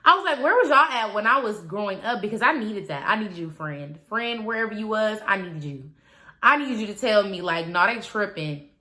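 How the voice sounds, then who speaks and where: A woman, talking casually and animatedly to her viewers, swinging between loud asides and quiet trailing remarks. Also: medium pitch, animated, normal speed. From Milwaukee, Wisconsin. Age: 20s. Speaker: vlogger. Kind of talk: casual confessional vlog.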